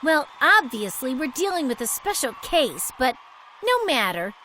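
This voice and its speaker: A woman voicing a haughty, scheming diva villain in an animated film, pompous and theatrical. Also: high voice, measured pace, full character voice, low-quality recording. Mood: indignant, scheming. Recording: crowd noise behind.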